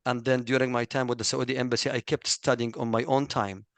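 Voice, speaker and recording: A man's voice: mid-range voice, level tone, quick pace, creaky, dry recording. A middle-aged man from Egypt with a thick Egyptian Arabic accent, giving an earnest testimonial in a webinar, full of fillers and self-corrections. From Egypt, raised in Kuwait. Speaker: former student speaker.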